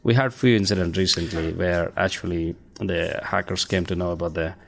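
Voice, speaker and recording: Deep-voiced Indian man, chatty guest. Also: steady tone, moderate pace, vocal fry, slight background noise. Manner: chatty, laughs between points, many fillers. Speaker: guest, cybersecurity company founder. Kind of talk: casual podcast interview.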